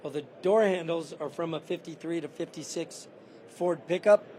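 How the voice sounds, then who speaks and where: Man, slightly high voice, losing his voice, matter-of-fact, detailed, faint crowd noise behind. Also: normal speed, dark tone. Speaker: car builder. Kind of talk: walkaround explanation of a custom car. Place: convention show floor.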